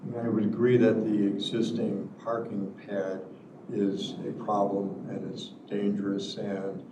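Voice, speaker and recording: Man, hearing officer, low voice, flat delivery, probing, distant, echoey, noisy. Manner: deliberate, probing questions. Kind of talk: public hearing questioning.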